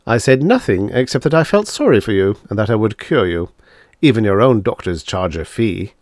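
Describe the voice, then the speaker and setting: A man's voice: on the low side, sing-song, normal speed, darkish tone, no room sound, studio quality. A man voicing a proud, suave devil in an audiobook, lecturing in a matter-of-fact, condescending way as he bargains. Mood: cool, bargaining. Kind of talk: audiobook character dialogue.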